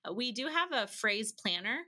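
A woman's voice: on the high side, big pitch swings, normal speed, bright, forceful tone. A woman speaking as a podcast guest, giving chatty, practical advice with everyday examples and acted-out bits of dialogue. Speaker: podcast guest, language-learning educator. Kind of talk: casual conversational advice.

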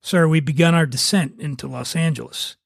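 Raspy, officious flight attendant read by a man. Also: on the high side, fairly even intonation, moderate pace, no echo, clean studio sound. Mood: firm. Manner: formal, insistent. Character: insistent, officious flight attendant addressing passengers. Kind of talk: dramatic table read of TV pilot.

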